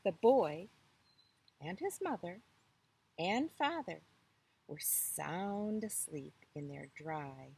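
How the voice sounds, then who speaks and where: A woman storyteller reading a children's tale expressively, with deliberate, dramatic phrasing. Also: lowish voice, lively intonation, vocal fry, warm tone, slightly rough.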